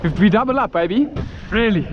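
A young South African man chatting casually and excitably while fishing, with slang and swearing. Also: high voice, fairly quick, muffled recording. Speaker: recreational angler. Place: outdoors on a small open fishing boat at sea. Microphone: action camera worn by an angler. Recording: loud wind and boat engine noise.

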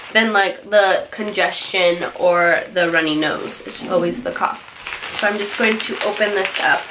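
A woman's voice: medium pitch, background noise. A young woman in her twenties chatting casually through a haul video, a little nasal from a cold and often correcting herself as she goes. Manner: chatty, rambling, self-correcting. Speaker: beauty vlogger. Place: small bright bedroom with louvered closet doors.

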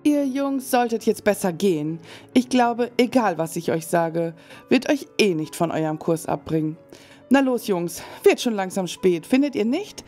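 A woman voicing Shirley, a mysterious older medium in an audio drama, speaking in slow, halting visions. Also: mid-range voice, expressive, dark tone, faint music behind, lightly acted. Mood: knowing. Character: mysterious older female fortune teller. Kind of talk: German fan audio drama.

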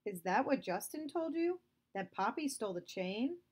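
A woman's voice: fairly high pitch, big pitch swings, normal speed, a little mellow, pitched above the performer's own voice. Lady doing a straight-arrow kid brother, firm, raised voice. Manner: firm, questioning. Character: honest, principled young brother.

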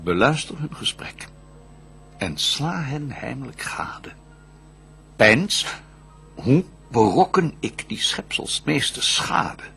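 An actor playing Lucifer, a vengeful, scheming fallen angel, in a theatrical radio play, declaiming in a husky, raspy voice that swings from hushed to roaring. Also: male voice, mid-range voice, very animated, slow, long pauses, faint music behind, full character voice. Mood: sly plotting. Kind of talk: theatrical radio drama verse monologue.

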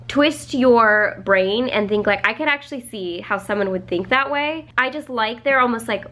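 Young woman with a stuffy nose rambling about a book at home, bright voice, close camera. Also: fairly high pitch. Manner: chatty, lots of "like" fillers and laughs. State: congested from a cold. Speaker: book vlogger. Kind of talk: casual chat to camera. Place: home living room, indoors.

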